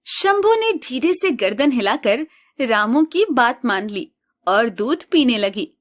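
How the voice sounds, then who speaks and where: Slow-reading woman storyteller. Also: a little high, sing-song. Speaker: narrator. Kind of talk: scripted storybook narration.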